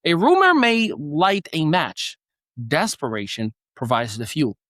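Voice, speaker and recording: A man's voice: fairly high pitch, huge pitch swings, a little slow, fairly bright tone, dry recording, studio quality. A man born in Morocco hosting a news show, giving an earnest, opinionated commentary with occasional restarts and fillers. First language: Moroccan Arabic.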